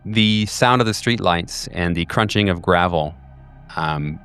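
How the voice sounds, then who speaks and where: A man with a fairly low, resonant voice runs a tabletop horror game. He narrates in pieces, pausing between phrases. Music plays softly behind him. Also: clean tone. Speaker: game master and host. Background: background music.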